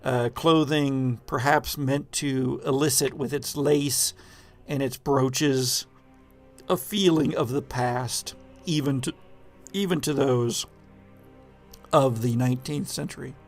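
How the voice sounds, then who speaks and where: A man narrating an improvised scene as game master of a tabletop horror game, with halting, deliberate descriptive phrasing. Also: mid-range voice, fairly even intonation, soft background music.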